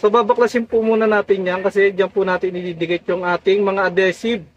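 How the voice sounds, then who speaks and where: A man's voice: sits high, level tone, a little fast. A man with a Filipino accent narrating a casual hands-on how-to as a tutorial vlogger, often addressing his viewers directly. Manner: repeatedly addresses viewers as guys. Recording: dull lo-fi audio.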